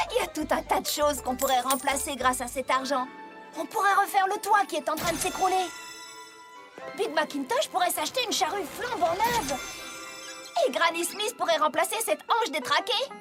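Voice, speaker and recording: A woman's voice: extremely high pitch, lively intonation, normal speed, over music. A woman voicing a hardworking, feisty female farm pony in a French children's cartoon dub, with an emphatic, plain-spoken manner. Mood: enthusiastic dreaming.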